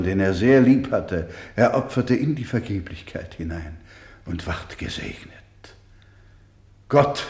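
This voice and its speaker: Weary, devout doctor for radio drama: slow, solemn, gentle, halting, roomy echoing sound. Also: male voice, on the low side, gravelly. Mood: pleading, fervent. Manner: solemn, halting, swelling from whisper to fervour. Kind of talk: dramatic radio play acting.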